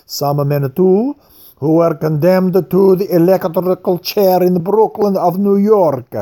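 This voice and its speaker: A reader voicing a pompous eighty-two-year-old rich man with an Italian accent who speaks English slowly, carefully and formally. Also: medium pitch, steady tone, dark tone, pitched above the performer's own voice, close to an everyday voice. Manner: slow, careful, formal, bowing.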